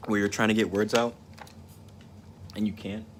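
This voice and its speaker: Soft-spoken young man with a deep voice. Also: flat delivery, moderate pace. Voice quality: stutter. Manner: rambling, self-reflective, with repeated words and restarts. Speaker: livestreaming painter. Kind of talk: casual livestream chat while painting. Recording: noticeable ambient noise.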